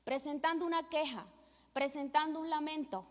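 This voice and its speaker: A woman's voice: sits high, fairly even intonation, moderate pace. A middle-aged woman with a Venezuelan accent, a pastor preaching and praying with emphatic, repeated devotional phrases. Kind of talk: church sermon and prayer. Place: church hall with long reverb. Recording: reverberant hall.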